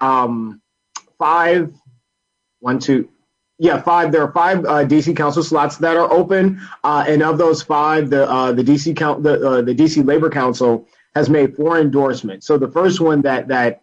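Male American political interviewee, slightly high voice, halting delivery with restarts, muffled low-fidelity recording. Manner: halting, repeats words while explaining. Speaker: guest, labor council political director. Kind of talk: radio interview, political advocacy talk.

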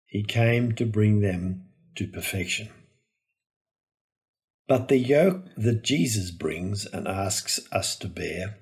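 A low-voiced man delivers a Gospel homily. He speaks very slowly and solemnly, pausing between deliberate phrases like a preacher reading a prepared reflection. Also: a little mellow. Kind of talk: reflective scripted sermon.

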